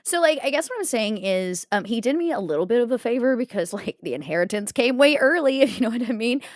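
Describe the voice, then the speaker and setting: A woman's voice: a little high, lively intonation, fast, dry recording, studio quality, natural voice. A woman playing a spoiled, ditzy young crime heiress in a tabletop roleplay, with breezy valley-girl talk full of filler words. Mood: flippant.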